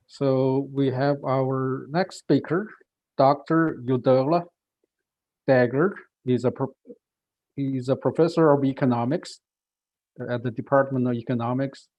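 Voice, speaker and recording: A man moderating an academic session, introducing the next speaker at an unhurried pace with some uhs. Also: medium pitch, muffled recording.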